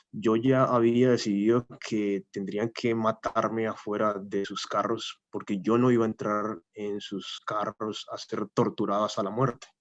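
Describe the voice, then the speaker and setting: A man giving an academic talk as a guest lecturer, speaking in a measured, hesitant way with many "eh" fillers. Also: lowish voice. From Colombia. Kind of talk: academic talk with a personal story. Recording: muffled lo-fi audio.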